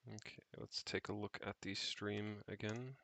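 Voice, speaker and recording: A man giving casual think-aloud commentary as he codes, muttering to himself in a halting way. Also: lowish voice, steady tone, gravelly, fairly bright tone. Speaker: programmer streaming own project.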